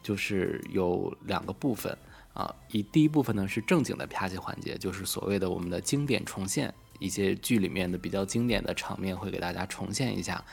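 A man's voice: low-pitched, a little fast, creaky, husky. A man hosting a casual live event, explaining things politely. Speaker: host of a live fan event.

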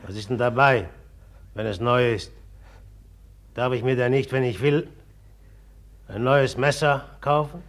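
A man's voice: mid-range voice, measured pace, long pauses, lightly acted. A man acting in a German radio drama as a jealous, menacing man who taunts and accuses insistently. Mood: defensive taunting.

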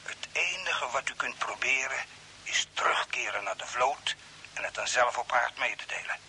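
Sharp-voiced mysterious stranded Earthman. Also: medium pitch, animated, normal speed. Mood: firm, warning. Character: mysterious man from Earth stranded on Mars, calmly insistent. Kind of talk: radio play acting. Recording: hissy old radio broadcast, faint noise.

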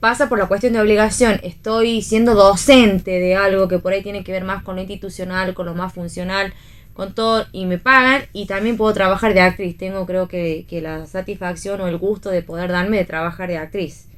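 A woman's voice: medium pitch, fairly even intonation, fairly quick. A woman with an Argentine accent, a guest actress and drama teacher, explaining things reflectively in a casual radio conversation.

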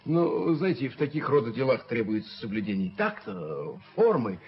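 An actor playing a fussy, pompous gentleman in a radio play, affected and effusive, repeating his words. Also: medium pitch, sing-song, moderate pace. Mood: obsequious, effusive. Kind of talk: Soviet radio play acting. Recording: dull, hissy 1970s radio recording.

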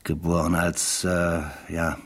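An actor playing a dry, sardonic narrator who muses aloud in a conversational radio play style, with a husky, gravelly voice. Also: male voice, sits low, completely flat delivery, slow. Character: dry, sardonic male investigator-narrator reading the files.

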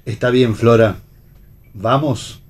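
A man's voice: mid-range voice, slow pace. A man playing a baffled, plain-spoken husband in a radio theatre reading.

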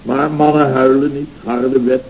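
A pompous boss of a building firm in a vintage radio comedy, wallowing melodramatically in self-pity and bluster. His tone is soft-edged and mellow. The old recording is muffled, with a clear layer of noise. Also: mid-range voice, little pitch movement, unhurried. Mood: solemn self-pity. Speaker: actor in a radio comedy serial. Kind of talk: 1970s Dutch radio comedy acting.

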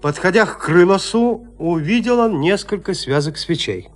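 A man narrating a literary audio drama with measured, suspenseful, theatrical phrasing. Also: high-pitched, expressive, faint music behind.

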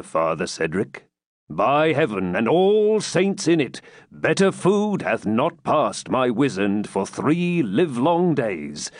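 A man voicing a composed but aggrieved, half-starved nobleman in a storybook, with slow, archaic speech. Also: mid-range voice, no room sound, clean studio sound. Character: composed, grumbling, famished nobleman taken for a ghost.

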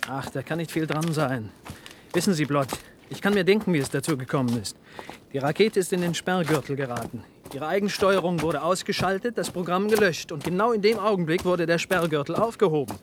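An actor playing a hard, pragmatic rocket technician in a German radio play, speaking matter-of-factly. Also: male voice, on the high side, animated, fairly quick, close to an everyday voice. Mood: explaining. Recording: noisy old radio recording.

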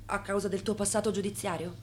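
An actress in a radio drama playing a swindler's pious, pleading, plain-spoken wife. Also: female voice, medium pitch, little pitch movement, fast, darkish tone, slight background noise, natural voice. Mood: quiet, flat questioning. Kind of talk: theatrical radio drama acting.

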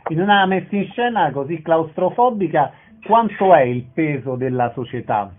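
Higher-pitched male interviewer, phone-like sound. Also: expressive, moderate pace. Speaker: radio interviewer. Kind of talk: radio interview, short prepared questions. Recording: narrow phone-like radio sound.

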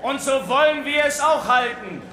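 An actor playing a bombastic, patriotic host of a charity gala in a radio drama, declaiming pompously to the room. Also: male voice, extremely high pitch, lively intonation, normal speed. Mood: urgent, commanding. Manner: declamatory, pompous public address. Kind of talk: German radio drama acting. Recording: echoey hall with noisy crowd.

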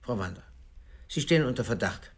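Stern, raspy prison director. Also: male voice, mid-range voice, expressive, brisk. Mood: stern, indignant. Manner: stern, officious. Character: stern, disapproving male prison director, coldly authoritative. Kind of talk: German radio drama acting.

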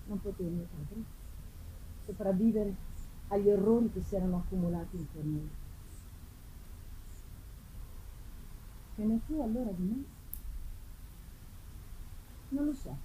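A woman's voice: medium pitch, lightly acted. A woman reading a gothic novel theatrically as an anguished man bent on revenge, in a solemn manner, on a noisy live recording. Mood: grieving, bitter. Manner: solemn, theatrical, swinging from hushed to declamatory. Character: anguished male avenger narrating his tragic hunt.

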